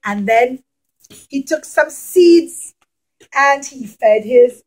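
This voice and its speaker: High-voiced woman telling children a story. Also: animated, drawn-out words, low-quality recording. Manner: emphatic, repeats phrases, questions the children. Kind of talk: interactive religious storytelling for young children.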